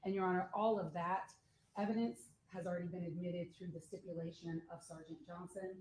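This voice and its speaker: Mellow-voiced woman prosecutor in court. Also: lowish voice, fairly even intonation, brisk, slight background noise. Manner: formal, addresses the judge. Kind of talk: courtroom examination. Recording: echoey courtroom audio.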